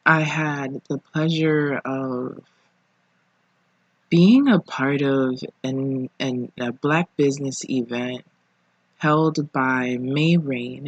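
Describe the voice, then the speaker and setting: Podcast host, kinda husky, takes their time, reflective and casual, dry close sound. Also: mid-range voice, dry recording, highs cut off. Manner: informal, reflective, pausing between phrases. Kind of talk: casual solo podcast monologue. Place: living room at home.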